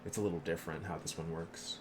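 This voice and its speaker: A man streams a game with a fairly low voice and an easygoing, explanatory manner. His commentary is casual and live, over clearly audible noise from the game. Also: fairly even intonation, fast.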